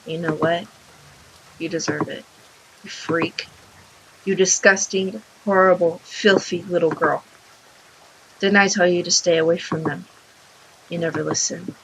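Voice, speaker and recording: A woman voicing a cruel, possessive mother from a visual novel in a quiet, taunting, smothering way. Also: fairly low pitch, fairly even intonation, a little slow, long pauses, slight background noise, rough recording. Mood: cruel, scornful. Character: cruel, possessive mother scolding and doting on her daughter.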